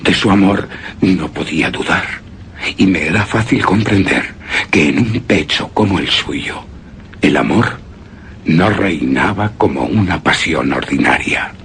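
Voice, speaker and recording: An actor playing a grieving, obsessed widower in a suspense and horror radio drama, with a solemn, halting, brooding delivery that drops into whispers. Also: male voice, low voice, animated, a bit bright, a little hiss or hum, full character voice. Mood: sorrowful, brooding. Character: grieving, obsessed widower recounting his beloved's death. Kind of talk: Spanish-language suspense and horror radio drama, theatrical monologue.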